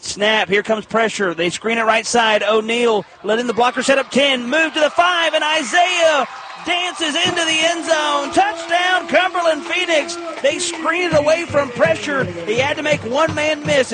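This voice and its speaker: An American man calling live football play-by-play on the radio in an excitable, emphatic way with clipped phrases. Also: extremely high pitch, unhurried, over music.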